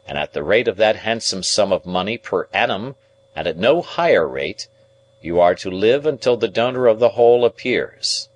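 A man's voice: lowish voice, animated, normal speed, voice pushed up. A man voicing a domineering, shrewd middle-aged lawyer in an audiobook, with an emphatic, interrogating and pedantic delivery. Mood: formal, insistent. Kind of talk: audiobook character dialogue.

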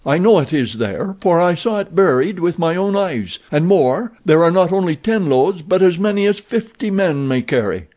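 Desperate captive guy begging to be let go, pushy and pitched up higher, as a narrator puts him on. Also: lively intonation, moderate pace, hardly any pauses, a little mellow. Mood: insistent. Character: desperate captive Belgian man pleading for freedom.

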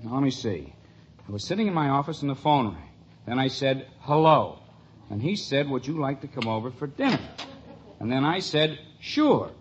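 An actor playing a bewildered, wisecracking middle-aged husband in an exasperated, incredulous manner, in 1950s radio sitcom style. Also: male voice, medium pitch, big pitch swings, moderate pace, close to an everyday voice. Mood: wry. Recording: muffled, noisy old radio recording.

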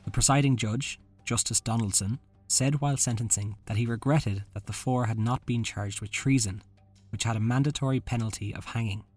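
A man's voice: medium pitch, brisk, a little mellow, dry recording, soft background music. A man hosting a podcast, reading a scripted true-crime narration. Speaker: podcast host.

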